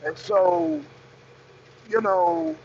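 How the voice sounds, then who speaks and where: A man with an American accent speaks in a high, raspy voice full of gravelly fry. He delivers off-the-cuff political commentary as a show host, hammering key phrases by repeating them. The audio is dull, with noticeable noise behind him. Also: expressive, very slow, darkish tone.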